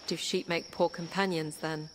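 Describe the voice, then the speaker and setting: A woman's voice: low-pitched, little pitch movement, moderate pace, a little hiss or hum, natural voice, poor audio. A woman voicing a headstrong, curious young princess in an audio adventure game. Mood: wary, curious. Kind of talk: dramatic audio game acting.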